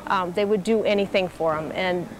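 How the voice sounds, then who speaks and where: An older woman being interviewed, recalling things informally in a halting, reflective way. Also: lowish voice, fairly even intonation. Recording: clearly noisy interview recording.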